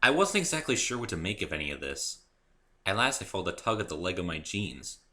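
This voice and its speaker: A man's voice: low-pitched, very animated, fairly quick, pushed and bright. A man reading a first-person story aloud as a dramatic narration. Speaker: amateur reader and author of the story.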